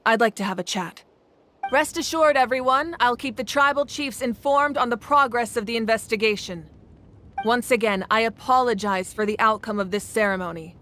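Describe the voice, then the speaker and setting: A composed, commanding female ruler in a video game, played by a woman. Her somewhat high voice is formal and measured, full of authority. A little noise sits in the recording. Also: fairly bright tone, close to an everyday voice.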